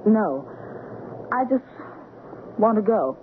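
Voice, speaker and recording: An actress playing a fond, uneasy American wife, with halting, theatrical delivery in a 1970s radio drama. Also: female voice, medium pitch, big pitch swings. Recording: noisy, phone-like 1970s radio broadcast.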